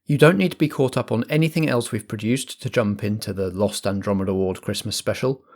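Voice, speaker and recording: A man hosting a role-play podcast as compere, with a measured, theatrical scripted delivery. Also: fairly low pitch, steady tone, darkish tone. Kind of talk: scripted narration and introduction.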